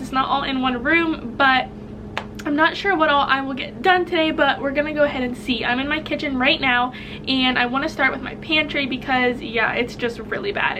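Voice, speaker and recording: A woman's voice: high-pitched, background noise. A young woman with a head cold and a stuffy voice, chatting casually to camera about organizing her kitchen, upbeat and friendly. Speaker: vlogger. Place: home kitchen.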